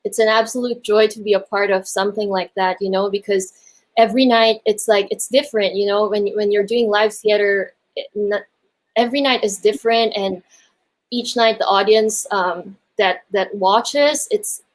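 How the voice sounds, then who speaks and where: A woman's voice: medium pitch, steady tone, moderate pace, rough recording. A woman from the Philippines and Hong Kong, a musical theatre performer, chatting casually and appreciatively as an interview guest.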